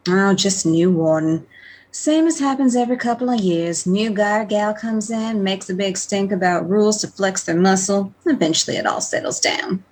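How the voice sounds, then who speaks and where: A woman's voice: medium pitch, normal speed, darkish tone, lightly acted. An actress playing a wry, savvy female prison guard, bantering dryly in a radio play. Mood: matter-of-fact, friendly. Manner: dry, bantering.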